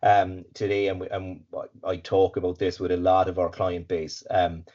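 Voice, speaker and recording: An Irish man with a raspy voice, slightly congested from hay fever, giving an upbeat webinar talk as guest speaker. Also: low-pitched, monotone, normal speed, dark tone. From Ireland. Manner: upbeat, fluent, with filler words and repeats. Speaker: guest speaker, supply chain consultant. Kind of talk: webinar presentation. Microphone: video call. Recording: dull lo-fi video call audio.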